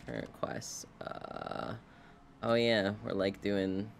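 Playful male streamer, creaky voice. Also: fairly low pitch, very animated, takes it slowly, slight background noise. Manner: playful, murmured sing-song asides. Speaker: game streamer. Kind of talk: casual live gameplay commentary.

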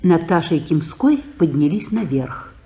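Woman narrator, lowish calm voice, reads stage directions matter-of-fact. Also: measured pace.

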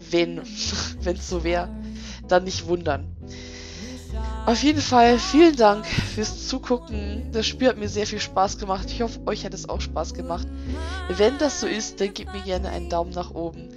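A hoarse woman with a chatty, reflective way of talking, giving casual gameplay commentary. Her voice sits at mid pitch, and game music plays clearly behind her. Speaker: let's play host.

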